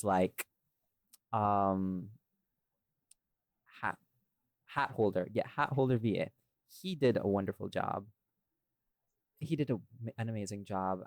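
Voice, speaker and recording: A man's voice: on the low side, a little mellow, no echo. A man co-hosting a casual, chatty podcast discussion, speaking emphatically and repeating phrases for stress. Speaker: audio series creator and podcast co-host.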